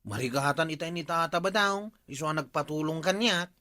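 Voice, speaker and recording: An actor playing an anxious villager bringing urgent news in a comedy radio drama. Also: male voice, on the high side, fairly quick, pushed and bright.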